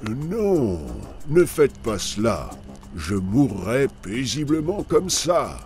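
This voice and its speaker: A male actor plays a gentle old man from an animated spiritual tale. He speaks slowly and humbly, with a resigned temper. His pitch swings noticeably, and soft music sits behind him. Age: older. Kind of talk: dramatized animated story dub.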